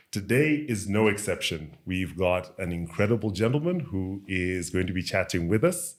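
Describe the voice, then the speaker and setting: Male podcast host with a lowish, polished voice. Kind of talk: podcast introduction and interview.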